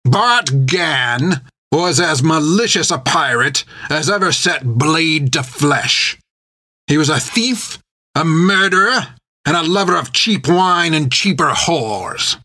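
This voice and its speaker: A man's voice: on the high side, expressive, slow, bright, forceful tone, no room sound, clean studio sound, pitched above the performer's own voice, exaggerated voice. A man voicing a cold, scornful male pirate captain with a sneering, mocking delivery. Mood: menacing.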